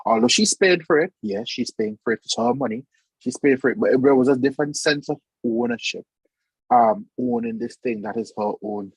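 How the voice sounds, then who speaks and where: A man's voice: medium pitch, animated, normal speed, gravelly, husky, dry recording, low-quality recording. A man on a late-night video call panel, speaking earnestly and reflectively, a little sleepy. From Saint Vincent. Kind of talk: online panel discussion.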